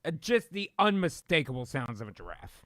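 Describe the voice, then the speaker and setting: High-voiced man narrating a comic radio play. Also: very animated, brisk, fairly bright tone, no echo. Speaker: narrator reading stage directions. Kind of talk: live comedic radio-drama narration, partly improvised.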